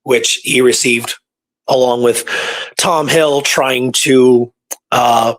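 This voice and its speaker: A man's voice: mid-range voice, fairly even intonation, slow, a bit bright, no echo, rough recording. A man co-hosting a casual history discussion, speaking emphatically and repeating points for stress. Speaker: co-host of a history talk show.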